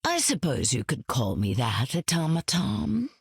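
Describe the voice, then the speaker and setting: A woman's voice: low voice, huge pitch swings, unhurried, creaky, slightly rough, dry recording, clean studio sound, exaggerated voice. A woman voicing an imperious, silky goddess of death in a video game, grand, theatrical and condescending. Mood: haughty menace.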